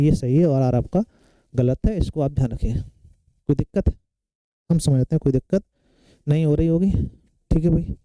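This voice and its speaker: Guy teaching exam prep, slightly high voice, really mellow, dry close sound, keeps checking you follow. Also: smooth, no echo, low-quality recording. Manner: explanatory, often checking in with short tag questions. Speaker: science teacher for competitive exam students. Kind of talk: classroom lecture working through exam questions.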